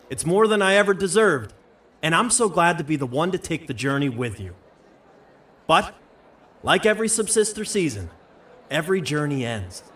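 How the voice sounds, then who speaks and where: Grand sentimental tv host, highish voice that swings around a lot, big pauses. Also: male voice, a bit bright, distant mic, a little hiss or hum. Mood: solemn. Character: sentimental male reality show host bidding farewell. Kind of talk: theatrical stage announcement to an audience.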